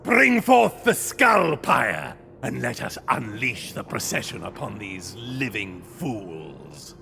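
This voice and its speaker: Gravelly, menacing undead ghost knight. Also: male voice, on the low side, big pitch swings, takes it slowly, bright, forceful tone, soft background music, heavily acted. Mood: menacing triumph. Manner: grandiose, menacing theatrical proclamations. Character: arrogant undead ghost knight, pitiless servant of death. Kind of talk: villain acting in a fantasy game cutscene.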